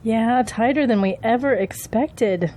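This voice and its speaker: An actress playing a loyal, assertive political campaign aide in an audio drama. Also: female voice, fairly high pitch, measured pace, dark tone. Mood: businesslike.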